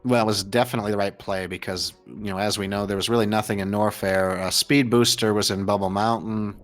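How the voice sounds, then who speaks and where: A man's voice: on the low side, fairly even intonation, brisk, faint music behind. An American man commentating and interviewing on a live gaming race in a casual, chatty, jokey way. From United States. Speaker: host and commentator.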